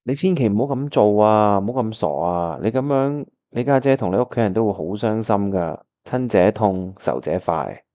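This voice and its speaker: An actor playing an earnest, caring young pianist in a Cantonese radio drama, with a Hong Kong accent. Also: male voice, lowish voice, expressive, moderate pace, hardly any pauses, warm tone. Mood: earnest pleading. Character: earnest, caring young male pianist, narrating his memories. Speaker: voice actor narrating and playing the lead.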